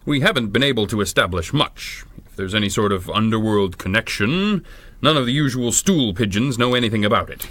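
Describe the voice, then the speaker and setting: An actor playing a blunt, sarcastic police chief in a radio drama, gruff and skeptical. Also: male voice, on the low side, animated, fairly quick, a bit bright, no echo. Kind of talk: 1930s-style radio drama acting.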